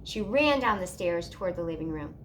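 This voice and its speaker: A woman reading a children's storybook aloud in an expressive narrating style. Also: fairly low pitch, brisk, a little hiss or hum. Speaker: storybook reader.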